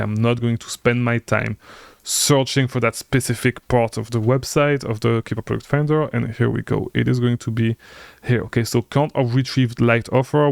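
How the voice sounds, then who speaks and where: A man whose first language is French, coaching online sellers through a software tutorial, repetitive and insistent, tagging lines with "okay?". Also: medium pitch, vocal fry, no echo, studio quality. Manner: repetitive, lots of "okay?" tags, energetic and insistent. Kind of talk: screen-share software tutorial.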